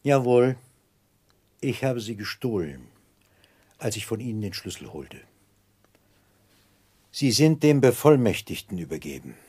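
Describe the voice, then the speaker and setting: An actor playing a theatrical, indignant gentleman in a radio drama, declaiming formal accusations pompously. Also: medium pitch, animated, measured pace, pauses often, slightly rough. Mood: defiant triumph. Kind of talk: 1960s German radio drama acting.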